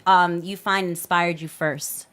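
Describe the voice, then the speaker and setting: A woman's voice: fairly low pitch, fairly even intonation, measured pace, slight background noise. A woman hosting a podcast, introducing a guest with enthusiasm and asking her about her life. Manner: hyped, enthusiastic, shouting out the guest. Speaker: podcast host.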